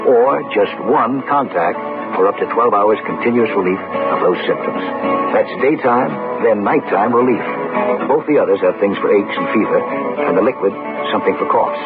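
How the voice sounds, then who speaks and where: A man reading a scripted vintage radio advertisement in a deliberate, persuasive way. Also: fairly low pitch. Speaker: commercial announcer. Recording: dull old radio broadcast. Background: loud advertising music.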